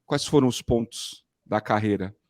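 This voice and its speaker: A man's voice: fairly low pitch, moderate pace, a little mellow. A Brazilian man interviewing in a casual, informal and affirming conversational way. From Rio de Janeiro, Brazil. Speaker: interviewer, host.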